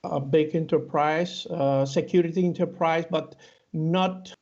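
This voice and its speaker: A man from Cuba with a Cuban Spanish accent, answering interview questions haltingly with many fillers and restarts; Spanish is his first language. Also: fairly high pitch, fairly even intonation, darkish tone. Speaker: interview guest. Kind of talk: hesitant conversational interview answers. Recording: dull lo-fi audio.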